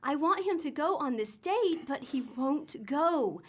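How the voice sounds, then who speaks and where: Actress as an exasperated mom, high voice, scolding. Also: female voice, animated, a little slow. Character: exasperated, sensible wife and mother. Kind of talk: comic radio drama acting.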